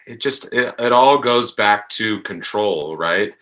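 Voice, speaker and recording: A man's voice: lowish voice, animated, slow, fairly bright tone, low-quality recording. A man in casual reflective discussion, speaking tentatively and searching for his words. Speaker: actor discussing his podcast drama.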